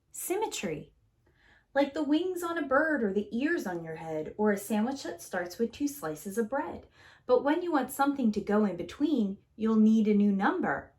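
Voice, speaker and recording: A woman reading a children's picture book aloud as a preschool teacher, in an expressive, theatrical way with drawn-out key words. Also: a little high.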